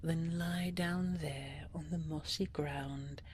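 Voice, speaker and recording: A woman voicing a possessive, magical dragon queen, tender and regal, with breathy, formal, coaxing speech in a fantasy audio roleplay. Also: deep voice, steady tone, darkish tone, heavy reverb, long reverb tail, recorded from a distance, a little hiss or hum. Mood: hushed, coaxing. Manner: formal, measured, coaxing, slightly archaic phrasing.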